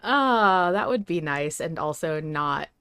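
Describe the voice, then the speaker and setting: A woman's voice: low-pitched, lively intonation, slow, fairly bright tone, clean tone. A woman co-hosting a podcast in a casual, emphatic fan discussion, swearing freely. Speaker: podcast co-host.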